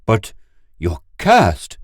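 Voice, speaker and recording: A man's voice: fairly low pitch, huge pitch swings, extremely slow, husky, no echo, studio quality, voice pushed up, heavily acted. Man as Pid, a stern, exasperated alien pilot. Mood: shocked. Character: Pid, rigid, indignant male alien pilot loyal to duty. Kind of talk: audiobook character voice.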